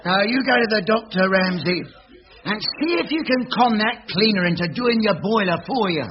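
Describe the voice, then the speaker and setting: An actor playing a dry, matey working-class friend, giving advice in a radio sitcom. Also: male voice, high-pitched, normal speed, over loud music, natural voice. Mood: dry, advising. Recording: dull old radio comedy, audience behind.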